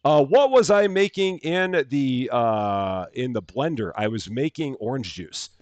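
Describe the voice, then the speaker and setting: A man's voice: mid-range voice, expressive, measured pace, darkish tone, no room sound. A middle-aged man from the Chicago area with a general American accent, hosting a live gaming stream and greeting viewers by name in upbeat, casual chat full of fillers and restarts. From Chicago area, USA.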